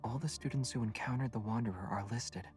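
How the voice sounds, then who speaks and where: An actor playing a calm, confident young male hunter in a video game, composed and understated. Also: mid-range voice, fast talker, soft background music, lightly acted. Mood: calm, serious. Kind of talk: scripted game voice acting.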